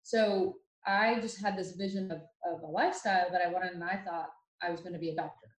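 Chatty woman from ohio, kinda low voice, roomy echo, lots of ums and restarts. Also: brisk. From Ohio, USA. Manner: chatty and animated, many fillers and restarts. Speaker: podcast guest. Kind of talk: casual storytelling interview.

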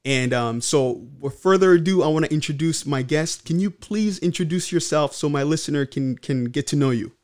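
A man's voice: on the high side, moderate pace, few pauses, a little mellow. A man from the Congo hosting a podcast, speaking earnestly with frequent fillers and restarts. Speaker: podcast host. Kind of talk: casual podcast monologue.

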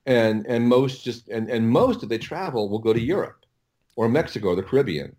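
A man talking casually as an interview guest, explaining things in a halting way and often repeating his words. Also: mid-range voice, expressive, darkish tone. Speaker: interview guest, audio producer.

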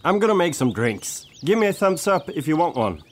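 A man's voice: fairly high pitch, big pitch swings, fairly quick, a little hiss or hum. A man playing an earnest, sensitive band member who is eager to please, in an amateur radio comedy play. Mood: eager, hopeful.

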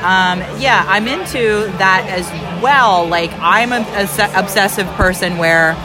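A woman's voice: medium pitch, takes it slowly, poor audio. A woman in her thirties hosting a casual podcast chat, rambling and joking with many restarts. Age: 30s. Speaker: podcast host. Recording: loud crowd and music at a busy event.

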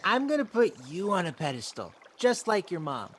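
A man's voice: sits high, big pitch swings, moderate pace, fairly bright tone, a little hiss or hum. A man playing an odd, blunt young boy in a comedy radio play, talking earnestly and blurting things out.

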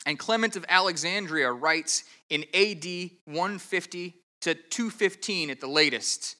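A man's voice: sits high, a little slow, pushed and bright. A man preaching an expository sermon as a pastor, explaining step by step in an earnest, methodical way, with the odd stammered restart. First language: English.

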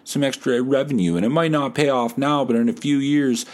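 A man's voice: medium pitch, fairly even intonation, brisk, darkish tone, voice pushed up, natural voice. A man voicing a chatty, blunt boyfriend who rambles and gives backhanded encouragement, in a comedy podcast story. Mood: encouraging. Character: worried, blunt boyfriend, a chatty lukewarm fan.

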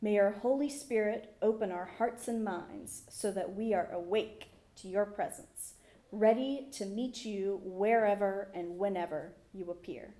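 Mellow woman reading scripture slowly. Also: mid-range voice. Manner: measured, reverent, deliberate. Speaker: scripture reader at a church service. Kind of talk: solemn scripture reading and prayer. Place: indoors in a church.

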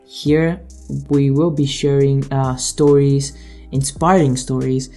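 A man's voice: mid-range voice, fairly even intonation, takes it slowly, dark tone, faint music behind. A young man from Greece hosting his own podcast, talking in an earnest, motivational way with some hesitation. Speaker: podcast host. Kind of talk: solo podcast introduction.

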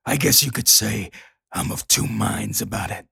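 A man voicing a menacing, gloating and unhinged comic-book villain in a gravelly voice. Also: on the low side, moderate pace, bright, forceful tone, heavily acted.

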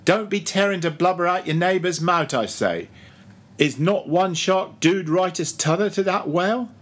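Man acting a grumbling old ship's cook, preaching loudly. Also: high-pitched, lively intonation, normal speed, pitched above the performer's own voice. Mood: earnest preaching. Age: older. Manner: grumbling, solemn mock-preacher delivery. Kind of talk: audiobook character voice.

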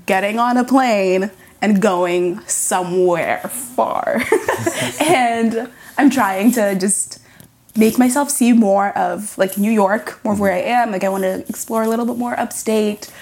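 A woman's voice: mid-range voice, a little slow, a little mellow. A young woman from Zimbabwe, a podcast guest chatting casually, with lots of "like" fillers. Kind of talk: casual interview chat.

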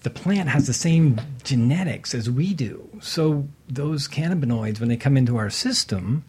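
Husky man, earnest and matter-of-fact. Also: medium pitch, expressive, moderate pace, darkish tone. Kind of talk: casual radio talk.

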